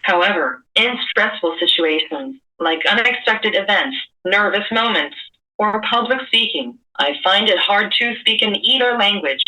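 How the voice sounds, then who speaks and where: A woman's voice coming from a speech device, with even, measured, prepared delivery. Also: medium pitch, distant mic, rough recording. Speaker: guest speaking through a speech device. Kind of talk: synthesized speech from a communication device.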